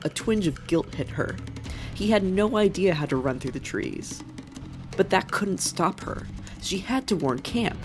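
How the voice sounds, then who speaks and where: A woman's voice: lowish voice, animated, measured pace, darkish tone. A woman reading scripted fiction as a dramatic narrator. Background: music.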